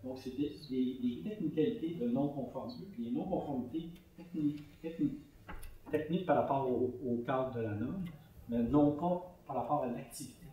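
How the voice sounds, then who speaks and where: A man with a Québécois French accent, a conference speaker answering audience questions in a relaxed, explanatory way with frequent fillers. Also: medium pitch, normal speed, phone-quality sound. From Canada (Quebec). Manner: conversational, explanatory with many fillers. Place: large conference hall. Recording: echoey hall recorded from far off.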